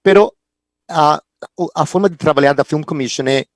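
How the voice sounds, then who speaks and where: A man from Costa Rica, a Spanish native speaker, speaking on a video-call panel with a Spanish accent, halting and often restarting as he searches for words. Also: a little high, no echo, clean studio sound. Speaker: film commission head. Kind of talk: panel talk.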